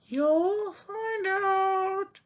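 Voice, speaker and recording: Mischievous storybook gorilla, put on by a storyteller: squeaky-high, very slow, coy and teasing. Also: lo-fi sound, heavily acted.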